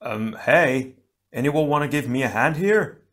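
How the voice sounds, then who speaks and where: A man's voice: medium pitch, lively intonation, measured pace, a bit bright, pitched above the performer's own voice. A man voicing a strong, loyal stone warrior in an adventure story, calling out urgently to his teammates. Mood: breathless plea.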